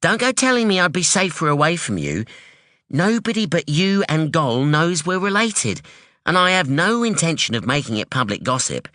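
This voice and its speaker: A man voicing a sharp, defiant young woman who talks bluntly and insistently, in an audiobook. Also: on the high side, very animated, moderate pace, dry recording, studio quality, voice pushed up. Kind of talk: audiobook dialogue.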